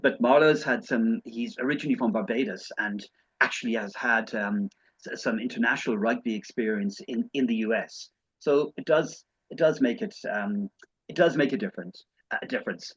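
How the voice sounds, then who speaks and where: A man hosting an online session, introducing the guest speaker in a halting way with many restarts. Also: medium pitch, husky, highs cut off.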